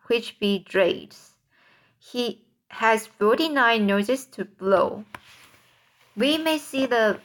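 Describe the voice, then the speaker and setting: A woman doing a boastful, excitable centipede. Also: on the high side. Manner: sing-song rhyming recital.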